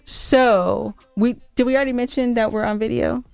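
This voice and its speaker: A woman's voice: fairly high pitch, steady tone, moderate pace, creaky, darkish tone, clear, resonant tone, no echo, soft background music. An American woman co-hosting a podcast, chatting casually and giving show updates with playful banter. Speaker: podcast co-host.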